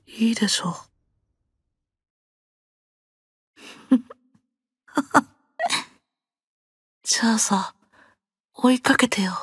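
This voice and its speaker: A lonely young boy, speaking slowly and softly in a breathy, very husky voice. He is gentle and hesitant, with a wistful longing, in an anime-style drama. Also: on the high side, dry recording, heavily acted. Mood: eerie, pleading. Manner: hesitant, soft-spoken, wistful. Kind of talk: Japanese anime-style audio drama acting.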